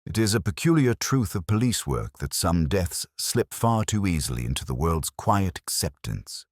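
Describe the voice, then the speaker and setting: A man playing a world-weary, brooding older police inspector, narrating his case in a measured, literary, sombre way for an audio drama. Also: low voice, sing-song, dry recording, studio quality, full character voice. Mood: uneasy, pensive. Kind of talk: scripted first-person detective narration, audio drama.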